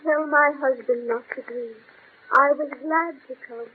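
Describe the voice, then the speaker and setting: An actress voicing the ghost of a dead bride, speaking in a hushed, even, haunting way in 1940s radio drama style. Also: female voice, sits high, a little slow. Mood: eerie. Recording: tinny old radio transcription, faint hiss.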